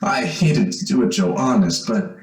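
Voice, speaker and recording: A man's voice: mid-range voice, expressive, moderate pace, soft background music, natural voice. A man playing an earnest, hesitant young man in an old-time radio suspense play, with boyish exclamations. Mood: earnest, confessing. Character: earnest boyish young man who trapped his would-be killer. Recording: roomy, echoing amateur radio play.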